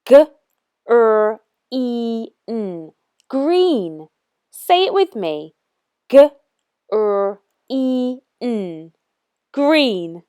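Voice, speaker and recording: A woman narrates a picture book for young children in a fairly high voice. Her phrasing is slow, deliberate and expressive, and the sound is close and dry. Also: smooth, no room sound. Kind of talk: scripted storybook read-aloud for young children.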